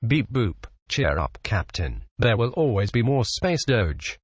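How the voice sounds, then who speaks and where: A man voicing a laconic, dryly consoling robot crewmate who speaks in a deadpan way and says his beeps as words, in a comic sci-fi radio serial. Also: lowish voice, a little slow, slightly rough, dull audio, exaggerated voice.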